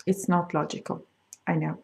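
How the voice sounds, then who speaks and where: A middle-aged woman with a Swedish accent, a crochet designer talking casually to camera and explaining things with frequent pauses and fillers. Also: lowish voice, fairly even intonation, gravelly. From Sweden. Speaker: crochet designer hosting a video podcast. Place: home in France.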